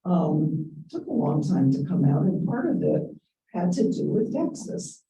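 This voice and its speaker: A woman with a raspy voice, speaking softly and reflectively about her own life on a panel. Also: low voice, very animated, measured pace, slight background noise. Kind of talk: personal recollection at a panel. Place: event room. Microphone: handheld microphone. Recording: roomy, distant event recording.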